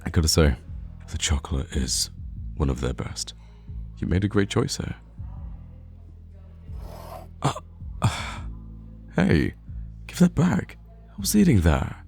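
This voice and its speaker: A man's voice: very deep voice, creaky, a little mellow, heavily acted. A man playing a playful, teasing young man bantering casually with a close friend in a conversational audio roleplay. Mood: mock indignant. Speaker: ASMR roleplay voice actor. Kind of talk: conversational ASMR roleplay acting.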